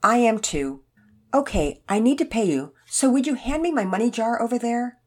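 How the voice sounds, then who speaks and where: A woman voicing a sweet, frail elderly man in a story, with a gentle, folksy, rambling manner. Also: a little high, animated, normal speed, husky, pitched above the performer's own voice. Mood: tender, resigned. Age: older. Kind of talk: acted character dialogue in children's story narration.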